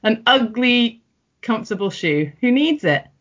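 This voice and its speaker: A middle-aged woman from North West England, talking through her life in a relaxed, reflective interview with frequent ums and restarts. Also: fairly high pitch, measured pace. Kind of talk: personal interview. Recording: muffled, dull audio.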